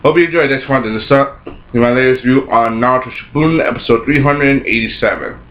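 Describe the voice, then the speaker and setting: A man with a raspy voice and a sore throat, rambling through a casual episode review, repeating himself and restarting sentences. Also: mid-range voice, brisk. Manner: rambling, repeats himself, many false starts. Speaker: anime reviewer. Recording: roomy, dull lo-fi home recording.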